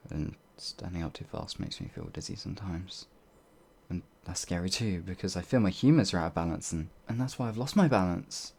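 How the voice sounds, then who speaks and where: A man's voice: sits low, fairly quick, gravelly, darkish tone, breathy edge, full character voice. A man playing a timid, apologetic werewolf farmhand in an audio roleplay, hesitant and rambling, stammering as he goes. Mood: meek, fearful. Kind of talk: ASMR audio roleplay monologue.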